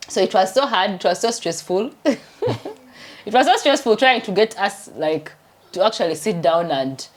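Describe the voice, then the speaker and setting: A woman's voice: mid-range voice, very animated, measured pace. A Ugandan woman in her thirties with a Ugandan accent, hosting a chatty, rambling home vlog. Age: 30s. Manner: chatty, laughs often, rambling. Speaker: vlogger and doctor. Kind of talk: casual vlog chat.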